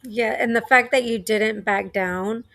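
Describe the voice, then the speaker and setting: Female podcast host, unhurried and casual, supportive, with a close dry sound. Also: a little high, steady tone, vocal fry, fairly bright tone, dry recording.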